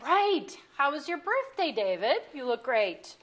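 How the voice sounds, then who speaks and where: A woman's voice: high-pitched, sing-song, measured pace, a bit bright, heavily acted. A woman playing an earnest, chatty middle-aged mother and hostess in a staged comedy reading. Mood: chatty, bright. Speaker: actor in a staged play reading. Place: museum auditorium stage.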